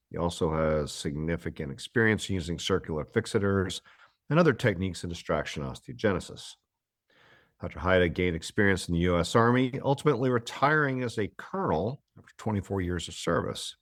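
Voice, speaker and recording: A man hosts a medical podcast. He reads a formal introduction in a measured way, then moves into conversation. The sound is close and dry. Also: sits low, no echo. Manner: formal, reads a long guest biography. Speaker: podcast host. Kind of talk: scripted introduction and interview.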